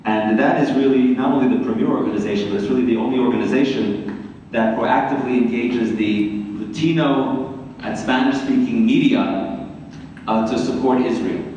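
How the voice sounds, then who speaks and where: A man with a Queens, New York accent introducing speakers at a community event as a rabbi, in somewhat halting, repetitive phrasing. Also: medium pitch, darkish tone, highs cut off. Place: large reverberant room, community event. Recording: very echoey hall, distant mic, faint noise.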